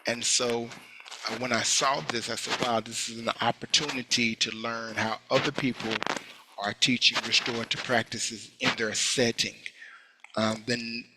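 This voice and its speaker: A man speaking as a school hearing official in an online workshop, halting with many fillers and restarts. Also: mid-range voice, fairly even intonation, background noise. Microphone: video call.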